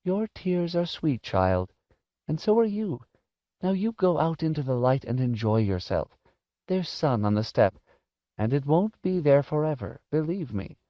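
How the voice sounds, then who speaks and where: A man voicing a kindly, soft-spoken old woman housekeeper with a sorrowful, fussing manner in an audiobook. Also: a little high, sing-song, unhurried, no echo, lo-fi sound, voice pushed up. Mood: sad, tender. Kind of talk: audiobook character voice.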